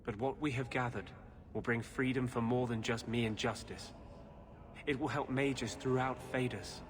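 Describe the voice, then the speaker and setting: An actor playing an intense, secretive rebel mage in a video game, speaking gravely and insistently. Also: male voice, medium pitch, steady tone, moderate pace, slight background noise, close to an everyday voice. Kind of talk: dramatic video game acting.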